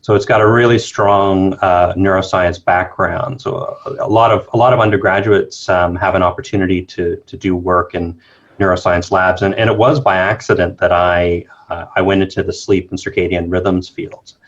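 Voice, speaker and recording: A man from Canada speaks low and slow-to-settle, with frequent fillers and restarts as he works through his answers. His voice comes over a dull, lo-fi line that takes the sparkle off it. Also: flat delivery. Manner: thoughtful, hesitant, many fillers and restarts. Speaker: guest, circadian biologist. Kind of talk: casual interview talk.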